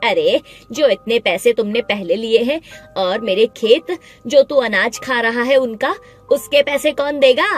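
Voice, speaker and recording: A woman voicing a greedy, bullying village man in an animated moral story, loud and accusing. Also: a little high, a little slow, close to an everyday voice. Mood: angry, scolding. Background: background music.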